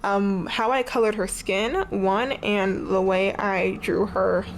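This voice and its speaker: Young woman, congested and sniffly, rambling vlog chat, mid pitch, faint hiss behind. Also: fairly even intonation, takes it slowly, poor audio. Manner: chatty, rambling, says "like" often. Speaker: art vlogger. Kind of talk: casual sketchbook tour chat. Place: on her bed at home.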